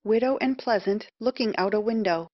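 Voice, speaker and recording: A woman's voice: mid-range voice, fairly quick, dry recording. Woman narrator, dry lo-fi sound. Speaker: narrator reading stage directions. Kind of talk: scripted narration. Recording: dull, dry lo-fi audio.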